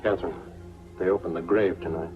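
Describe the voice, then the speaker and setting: A man's voice: sits low, fairly even intonation, brisk, close to an everyday voice. An actor playing a protective, plain-spoken small-town policeman, tough but tender, in the earnest style of 1940s film noir. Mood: grave, reluctant. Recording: muffled 1940s film soundtrack with music behind.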